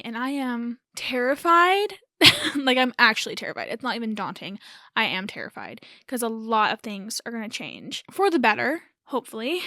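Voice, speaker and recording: Hoarse, raspy young woman rambling casually. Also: fairly high pitch, fairly bright tone, no echo, studio quality. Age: early 20s. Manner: rambling, self-correcting, laughs nervously. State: hoarse from a lingering cold. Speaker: podcast host. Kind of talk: casual solo chat.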